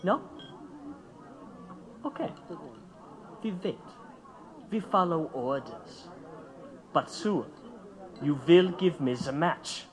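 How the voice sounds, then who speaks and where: Young anarchist bomb-maker, reckless, bitter, taunting, highish voice, very animated radio drama delivery. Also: male voice, slow pace, pauses often. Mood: scornful, fervent. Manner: taunting, intense, speaking in simple broken English. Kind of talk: audio drama acting.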